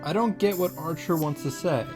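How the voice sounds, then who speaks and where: A man's voice: a little high, moderate pace. A man hosting a reaction series, giving an informal, wry recap with running commentary. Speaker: host of visual novel reaction series. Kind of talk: casual recap narration with commentary. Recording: music behind the voice.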